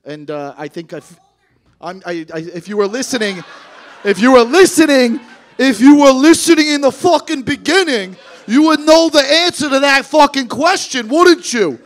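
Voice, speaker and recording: A man's voice: very high-pitched, animated, moderate pace. A man in his late thirties doing stand-up crowd work into a handheld mic on a club stage, brash, profane and teasing. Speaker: stand-up comedian. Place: comedy club stage before a seated audience. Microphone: handheld microphone.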